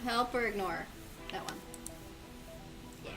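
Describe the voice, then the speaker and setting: A woman's voice: mid-range voice, slow. A woman co-player chatting casually while choosing what to do in a game. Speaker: let's play co-player. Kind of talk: casual group gaming chat. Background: game music.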